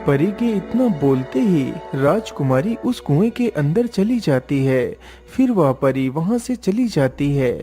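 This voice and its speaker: Lively male storyteller over music. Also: fairly high pitch, measured pace, hardly any pauses. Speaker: storyteller narrator. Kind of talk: scripted narration of a children's moral story.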